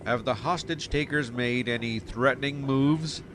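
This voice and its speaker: A man's voice: mid-range voice, measured pace, a bit bright, lightly acted. A man playing a sceptical, official older police captain in an audio drama, with clipped questions. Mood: businesslike. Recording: clearly noisy outdoor street ambience behind.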